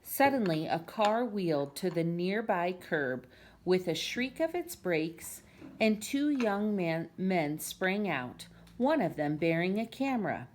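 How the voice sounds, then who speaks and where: Very animated woman reading a storybook. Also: lowish voice, slow pace, slight background noise. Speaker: parent reading aloud to family. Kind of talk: expressive storybook read-aloud. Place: at home.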